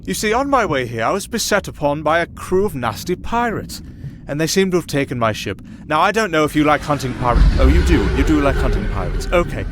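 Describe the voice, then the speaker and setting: A man's voice: fairly high pitch, big pitch swings, fairly quick, hardly any pauses. An actor playing a wry, melancholy castaway sailor and singer in an ASMR roleplay, hesitant and dryly sardonic in his chatty talk. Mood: cajoling, flustered. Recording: clearly audible ambient noise under voice.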